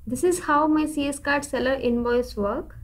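Woman w a pretty high voice walking thru a software demo step by step, instructional. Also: normal speed. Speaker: software tutorial presenter. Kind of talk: scripted step-by-step screen demo narration.

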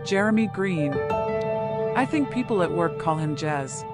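A woman's voice: fairly low pitch, expressive, slow pace. A woman voicing a reserved, anxious female client in a noir detective game, speaking carefully. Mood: guarded. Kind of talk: noir video game voice acting. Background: music.

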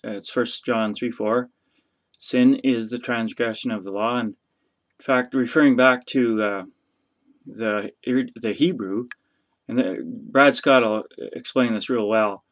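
A man in his fifties giving an informal, unscripted religious teaching, speaking haltingly and thoughtfully as he searches for words, recorded in a small room. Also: mid-range voice, fairly even intonation. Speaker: host of a religious teaching video.

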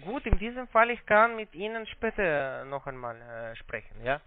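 A man who is not a native German speaker, talking haltingly in accented, broken German on a sales call, evasive in manner. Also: high voice, fairly even intonation, a little hiss or hum. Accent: foreign accent in German. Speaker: call-centre scammer posing as trading platform agent. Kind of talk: phone sales conversation. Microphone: telephone. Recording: muffled tinny phone line.

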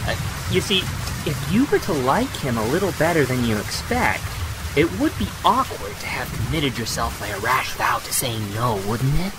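A man playing an earnest, gallant, courteous young suitor in a period romantic audio drama, gentle and a little hesitant. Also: medium pitch, sing-song, normal speed, over music, close to an everyday voice, rough recording. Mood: cautious, coaxing. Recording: clear rain and ambience behind. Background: rain.

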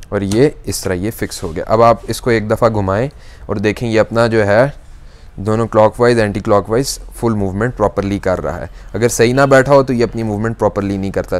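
Young man explaining steps. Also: mid-range voice. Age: young adult. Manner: patient step-by-step explaining, with drawn-out "aa" fillers. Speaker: shop salesman reviewing products. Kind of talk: product demonstration. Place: indoor electronics shop.

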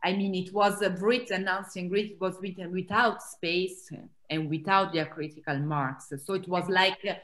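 Woman in her thirties, Italian accent, animated, restarts sentences, laptop webcam call from home. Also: fairly low pitch, normal speed. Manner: animated, gestures a lot, laughs, many restarts. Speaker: author and Greek scholar. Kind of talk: video call conversation. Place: small room at home, indoors. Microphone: laptop webcam on a video call.

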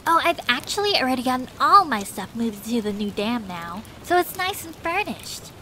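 Woman voicing a high, flirty cartoon lady beaver. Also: sing-song, normal speed, pushed and bright, slight background noise, poor audio. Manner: gushing, affectionate. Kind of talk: fan fiction cartoon character acting.

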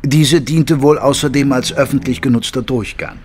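An actor playing an observant gentleman narrator, the detective's companion, telling the story in a measured, formal way. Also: medium pitch, fairly even intonation, breathy edge, lightly acted. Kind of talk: scripted narration. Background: music.

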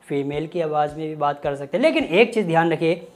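A man's voice: fairly high pitch, expressive, fairly quick. Chatty young man reviewing apps. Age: 20s. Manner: chatty, joking, colloquial asides. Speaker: YouTube tech host. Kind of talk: casual app review to camera. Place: small home studio room with desk. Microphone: lapel mic.

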